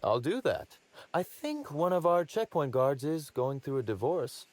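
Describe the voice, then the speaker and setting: Wry, courteous man with swooping pitch. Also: sing-song, a little slow. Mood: businesslike. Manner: wry, polished, formally courteous. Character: wry, courteous male boss of a secretive outfit. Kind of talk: conversational video game acting.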